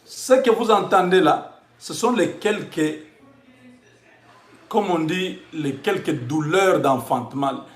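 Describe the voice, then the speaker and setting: A man's voice: on the high side, slow, echoey room. A man with a Congolese accent giving a religious, patriotic address in short, emphatic, repeated phrases. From Democratic Republic of the Congo.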